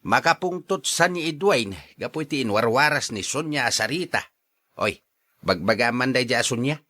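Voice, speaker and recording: A man's voice: medium pitch, lively intonation, moderate pace, pushed and bright. An actor playing a blunt, exasperated man who questions a gossip in a radio drama. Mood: concerned.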